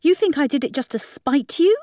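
A woman's voice: high-pitched, lively intonation, fairly quick, warm tone, no room sound, studio quality, pitched above the performer's own voice. A woman voicing a defensive, indignant and whiny nineteen-year-old bride in an audiobook. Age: young adult.

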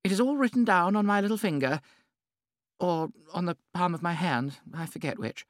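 A man voicing a vivacious, theatrical middle-aged society hostess in a high, bright voice, in an audiobook reading. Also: normal speed, no room sound, studio quality, close to an everyday voice. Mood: airy.

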